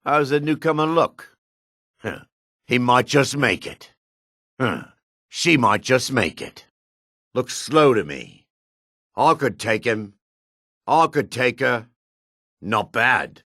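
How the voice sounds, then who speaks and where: An actor playing a proud, hard-edged male dark elf in a fantasy game, with a husky voice and a cool, self-assured manner. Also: lively intonation, takes it slowly, long pauses, no echo, clean studio sound, full character voice. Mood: appraising. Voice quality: husky, low. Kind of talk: fantasy game character lines.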